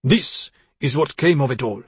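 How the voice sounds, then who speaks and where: A man's voice: medium pitch, animated, normal speed, breathy edge, no room sound. A man playing a stern, bitter elderly father in a story, commanding and accusatory. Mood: bitter, accusing.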